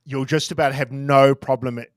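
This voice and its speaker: A forty-year-old man in relaxed podcast conversation, candid and self-deprecating with casual swearing. His voice sits at a middle pitch and sounds close and dry, with little room around it. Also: moderate pace, no room sound. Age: 40s. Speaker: crypto trader guest.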